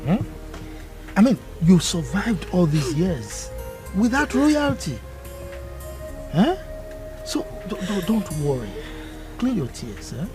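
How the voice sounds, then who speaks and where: An actor playing a protective uncle who scolds loudly and stammers when angry. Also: male voice, high voice, big pitch swings, takes it slowly, long pauses, over music, exaggerated voice. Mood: soothing.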